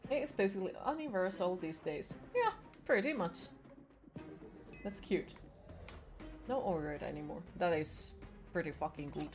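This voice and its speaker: A young woman with a Finnish accent chats with her viewers while she plays, her pitch bouncing lively up and down. She sounds playful and swears now and then. Faint game music runs behind her. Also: moderate pace, long pauses. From Finland. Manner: chatty, playful, swears casually. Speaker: streamer. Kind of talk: casual chat with chat while gaming.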